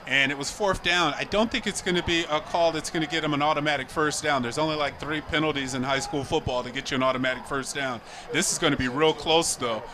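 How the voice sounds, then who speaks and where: A man giving chatty, analytical color commentary on a high school football game from a press box, with outdoor noise behind him. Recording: noisy outdoor stadium feed.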